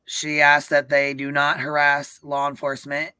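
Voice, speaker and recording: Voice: medium pitch, completely flat delivery, moderate pace, pushed and bright, smooth, no room sound. A livestream host chatting casually about events in an emphatic, profane way. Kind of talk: casual live commentary chat.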